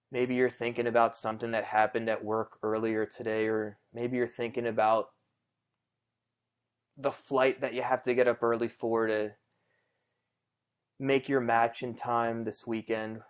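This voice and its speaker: Male meditation guide, medium pitch, smooth and clear. Conversational, reassuring, takes his time. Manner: gentle, reassuring, unhurried with long pauses.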